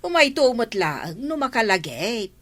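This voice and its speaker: A woman's voice: fairly high pitch, sing-song, a little slow, a bit bright, full character voice. A woman with an Ilocano accent playing a gossipy, exclaiming village neighbour in a radio comedy. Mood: reassuring. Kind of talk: radio comedy drama acting.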